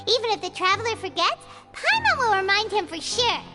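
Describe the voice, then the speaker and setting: Tiny fairy girl, very high voice, bouncy pitch, loud background music, game audio. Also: big pitch swings, a little fast. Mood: chirpy. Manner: talks about herself in the third person. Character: tiny chirpy female fairy companion, nosy and food-loving. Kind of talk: video game voice acting.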